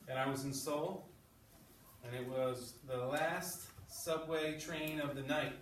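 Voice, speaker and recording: A man's voice: on the high side, steady tone, slow pace, distant mic. A man teaching a class as an English teacher, explaining things and checking in often with short questions. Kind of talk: classroom teaching and read-aloud. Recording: echoey classroom, off mic, background noise.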